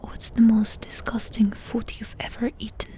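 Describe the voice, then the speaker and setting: A woman doing a soft-spoken ASMR roleplay, asking questions in an intimate, unhurried whisper. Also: a little high, completely flat delivery.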